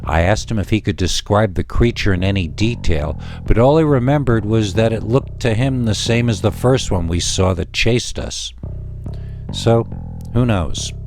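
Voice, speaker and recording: A man's voice: lowish voice, animated, moderate pace, a little mellow, soft background music. A man with an American accent narrating a scripted scary story as a podcast host.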